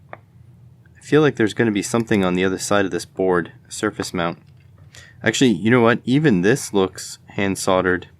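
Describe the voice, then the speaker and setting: A man giving casual hands-on commentary as host of an electronics teardown video, tentatively thinking aloud as he goes. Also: on the low side.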